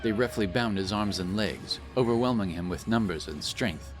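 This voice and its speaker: Deep-voiced man narrating dramatic fantasy. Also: moderate pace. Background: music.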